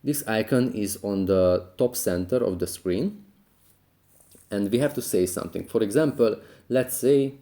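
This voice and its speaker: A man's voice: on the low side, a little slow, warm tone. A man hosting a how-to tutorial, narrating the steps in a scripted, instructional style. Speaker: tutorial host.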